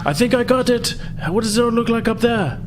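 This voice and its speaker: A man's voice: very high-pitched, a little fast, noisy background, voice pushed up. A man voicing a hardworking, frantic male ship mechanic, calling out urgently in a tabletop space game. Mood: excited. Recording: music behind the voice.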